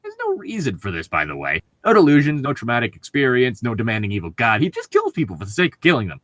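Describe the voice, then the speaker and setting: A man's voice: medium pitch, huge pitch swings, quick pace, fairly bright tone, no room sound. A man reading stories aloud as a co-host, with casual joking commentary.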